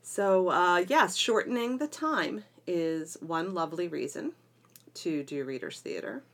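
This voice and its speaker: A woman's voice: on the low side, animated, unhurried. A middle-aged woman talking conversationally as a podcast co-host, explaining things with emphatic phrasing. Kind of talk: conversational educational podcast discussion.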